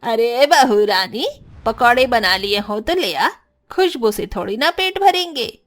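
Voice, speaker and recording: A woman voicing a lively older aunt-in-law, cheerful, bossy and teasing, in a cartoon family story. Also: a little high, measured pace. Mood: impatient. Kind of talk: cartoon family drama acting.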